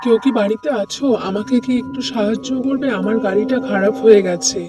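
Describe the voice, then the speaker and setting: A woman's voice: medium pitch, brisk, loud music behind the voice, lightly acted. A woman voicing a polite, anxious young man in a cartoon. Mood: pleading. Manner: plaintive, polite. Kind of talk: cartoon voice acting.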